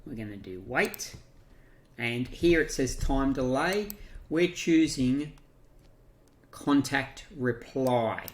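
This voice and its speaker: An Australian man giving an unscripted software walkthrough, talking through each step haltingly as he thinks aloud. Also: medium pitch, lively intonation. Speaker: software tutorial presenter. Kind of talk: unscripted screen-share walkthrough.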